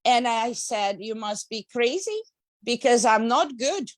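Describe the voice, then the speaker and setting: A woman's voice: fairly high pitch, lively intonation, unhurried, no room sound. A middle-aged woman from Hungary, a guest speaker telling her personal story in an earnest way on a talk show.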